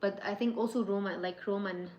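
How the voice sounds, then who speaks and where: Woman, Egyptian Arabic accent, halting speech, many fillers, roomy echo. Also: medium pitch, steady tone, darkish tone, lo-fi sound. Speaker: vlogger co-host. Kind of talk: casual chat on a livestream.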